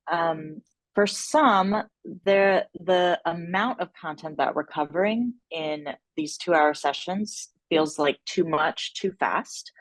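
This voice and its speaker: A woman presenting feedback informally at a workshop, with measured, hesitant phrasing and searching pauses. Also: on the low side, no room sound, low-quality recording. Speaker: workshop presenter on an evaluation team.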